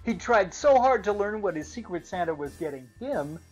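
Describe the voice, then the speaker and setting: Animated woman reading a children's story. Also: mid-range voice, moderate pace, slightly rough. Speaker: storybook narrator. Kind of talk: expressive children's read-aloud narration. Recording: slightly muffled, music behind.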